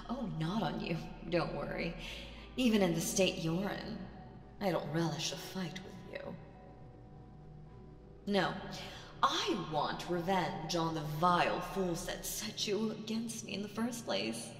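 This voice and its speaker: A woman voicing a proud, mocking demon queen in an ASMR audio roleplay, theatrical and condescending with dramatic pauses. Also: lowish voice. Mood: solemn, vengeful. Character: proud, mocking female demon queen, vengeful, regal villain. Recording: roomy with long reverb.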